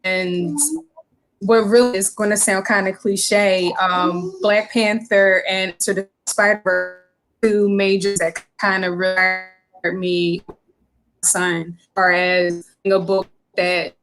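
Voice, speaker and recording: A woman children's book author answering interview questions, earnest and halting with many pauses and fillers. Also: mid-range voice, level tone, a bit bright, poor audio. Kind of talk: interview answers on a video call.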